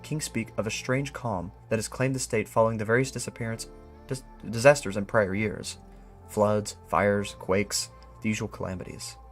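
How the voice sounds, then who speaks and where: A brooding, suspicious employee, played by a man reads out an eerie mystery note. The voice sits low and measured, musing ominously over soft background music. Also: fairly even intonation, lightly acted. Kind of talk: dramatic reading of a written note.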